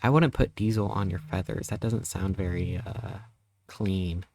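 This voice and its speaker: A man in his thirties, a live streamer chatting casually and greeting viewers, rambling playfully with sudden squeaky jumps in pitch. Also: measured pace, creaky, darkish tone. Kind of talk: casual live-stream chat reading chat messages.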